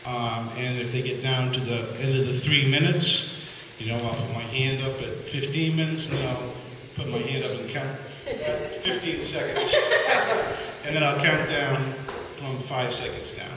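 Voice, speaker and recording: Joking moderator in an echoey hall. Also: male voice, mid-range voice, moderate pace. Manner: informal, joking, hesitant. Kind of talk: casual moderating. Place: large hall at a town meeting. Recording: echoey hall with steady noise.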